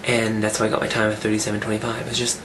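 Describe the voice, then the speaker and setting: A man talking casually in a vlog, rambling and restarting his sentences, his voice rough from a cold. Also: fairly low pitch, monotone, very fast, background noise. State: getting over a cold, rough voice. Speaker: fitness vlogger. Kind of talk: casual weekly update vlog.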